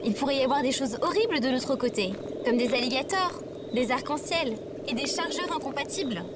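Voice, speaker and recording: Woman playing a sarcastic female robot sidekick, high lively voice, pessimistic, deadpan grumbling, comedy podcast drama, loud background noise. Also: brisk. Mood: gloomy, sarcastic. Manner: deadpan, complaining. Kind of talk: scripted comedic audio fiction acting.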